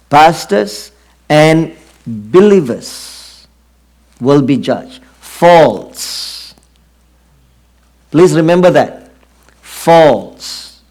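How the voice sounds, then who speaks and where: A man's voice: a little high, a little mellow. Singaporean man preaching a sermon. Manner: earnest, drawn-out emphasis with frequent fillers.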